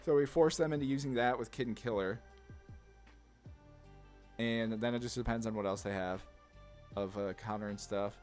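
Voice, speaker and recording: A man giving casual gameplay commentary, rambling informally as he thinks through each move out loud. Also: on the low side, steady tone, moderate pace, pauses often, faint music behind. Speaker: gaming content creator, player.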